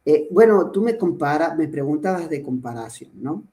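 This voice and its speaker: A man's voice: fairly high pitch, animated, normal speed, dark tone. A middle-aged man from Córdoba with an Argentine accent, a football coach explaining his ideas as a podcast guest with many fillers and restarts. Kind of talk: podcast interview conversation. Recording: roomy echo.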